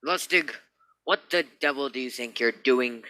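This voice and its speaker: A man's voice: mid-range voice, moderate pace, noisy background, close to an everyday voice. A man acting a stern, logical spaceship captain in a radio play, commanding and insistent. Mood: stern, alarmed. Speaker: actor in a student radio play.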